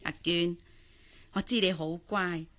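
An actress playing a kindly older aunt in a radio drama, chatty and persuasive, speaking with a Hong Kong Cantonese accent. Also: female voice, lowish voice, steady tone, measured pace, fairly bright tone. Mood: coaxing, reassuring. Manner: coaxing, chatty, with soothing interjections.

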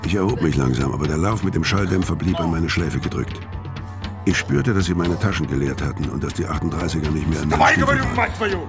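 An actor playing a world-weary career criminal, narrating a noir audio drama in a terse, deadpan way. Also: male voice, very deep voice, a little fast, heavily acted. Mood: tense, grim. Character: hard-boiled, world-weary male career criminal narrating his story. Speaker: first-person narrator. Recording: music bed under voice.

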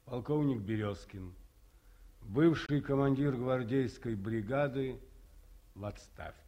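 Retired guards colonel: slow, courtly, ornate, sentimental; 1950s radio play. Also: male voice, medium pitch, mellow. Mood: formal. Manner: ornate, old-fashioned formal speech. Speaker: radio drama actor.

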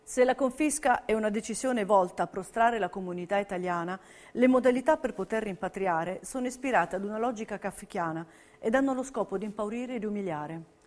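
A woman narrating a documentary theatre piece in a scripted, measured and clearly enunciated delivery. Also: mid-range voice, a little mellow. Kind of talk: scripted dramatic narration, reading testimonies.

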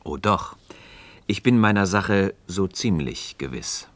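An actor playing a calm, cryptic Freemason in a radio dialogue, speaking formally and deliberately. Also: male voice, deep voice, dry recording, heavily acted. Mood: composed. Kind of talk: German radio play dialogue.

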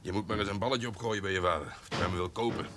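A man's voice: sits low, fairly even intonation, very fast, lightly acted. An actor playing a self-assured, boastful middle-aged horse breeder and father in a radio drama. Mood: breezy, boastful. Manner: self-assured, bragging, chuckling. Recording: clearly noisy sound with clicks.

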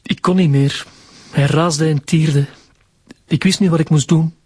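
An actor playing a shaken, earnest young son with a Flemish accent in a radio drama. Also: male voice, a little high, fairly even intonation, unhurried, darkish tone, breathy edge, no echo. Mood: shaken confession.

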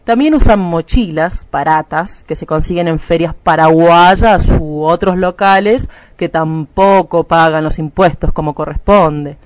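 A man's voice: on the high side, a little slow, hardly any pauses, natural voice. A man with an Argentine accent playing a smug, prejudiced radio commentator who lectures primly with fussy asides in a mock-serious drama. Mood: smug, disapproving. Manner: prim, didactic, fussy asides. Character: smug prejudiced man solemnly warning listeners about picketers.

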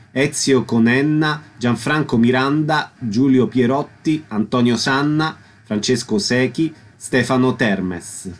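A man announcing a theatre play's credits in a scripted reading. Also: mid-range voice.

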